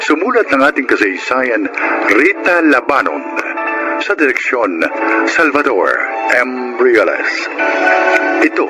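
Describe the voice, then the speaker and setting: Guy announcer for a radio drama, lively dramatic read, music really loud under him. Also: mid-range voice, slow pace, pauses often, low-quality recording.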